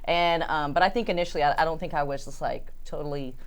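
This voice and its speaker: A woman in her forties speaks as a guest in a relaxed group conversation, her voice somewhat low. She talks in a reflective, wandering way, thinking aloud as she goes. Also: fast. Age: 40s. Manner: reflective, rambling with many "like" fillers. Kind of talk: casual group chat.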